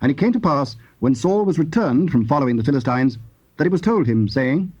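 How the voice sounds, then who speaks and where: Mellow male scripture narrator on muffled tape. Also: on the high side, animated, slightly rough. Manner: solemn, measured storytelling. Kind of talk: formal dramatized scripture narration. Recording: muffled, hissy old cassette tape.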